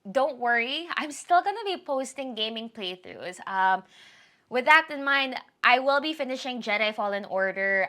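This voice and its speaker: Bright-voiced woman chatting to camera. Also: medium pitch. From Philippines. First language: Tagalog. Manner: chatty, apologetic, rambling with self-corrections. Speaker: YouTuber giving a channel update. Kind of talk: casual chat to camera.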